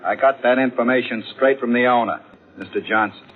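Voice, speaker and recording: An actor playing a suspicious, needling police detective in an old-time radio crime drama, husky, blunt, clipped and wry. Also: male voice, mid-range voice, steady tone, a little fast, natural voice. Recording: tinny vintage radio recording.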